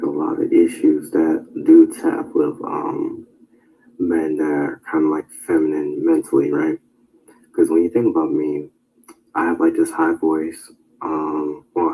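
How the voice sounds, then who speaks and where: A man's voice: a bass voice, mellow, poor audio. A young man speaking about himself in a hesitant, casual way, full of fillers. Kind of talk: casual personal talk.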